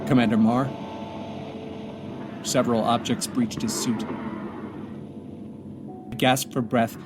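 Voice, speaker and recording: A man's voice: mid-range voice, little pitch movement, slow, lightly acted. Weary stranded astronaut, played by a man, music behind. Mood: grieving. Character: stranded American male astronaut commander, weary, grieving, clinging to hope. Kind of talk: audio drama monologue.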